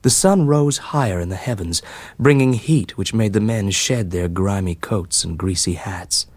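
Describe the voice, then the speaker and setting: A man reading a short story as scripted dramatic narration, measured and deliberate. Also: on the low side, a little mellow, dry recording. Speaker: story reader.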